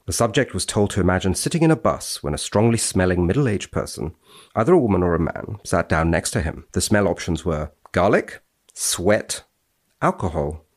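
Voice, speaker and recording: A man speaking as a podcast guest, wry and expressive, with a dryly playful touch. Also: low voice. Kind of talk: dramatic reading and wry conversation.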